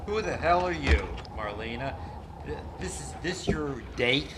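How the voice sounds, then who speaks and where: A man's voice: medium pitch, expressive, a little slow, lightly acted. A man playing a menacing, slangy hitman in an old-time radio drama, sneering and blunt. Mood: threatening. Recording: noisy amateur live stage recording.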